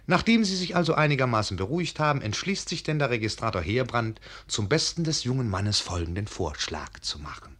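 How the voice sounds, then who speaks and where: A man's voice: mid-range voice, sing-song, brisk, hardly any pauses, fairly bright tone. A man playing a wry, genial fairy-tale narrator in a radio production, telling the story with knowing irony. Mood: wry storytelling. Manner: ironic, knowing. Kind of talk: theatrical scripted narration.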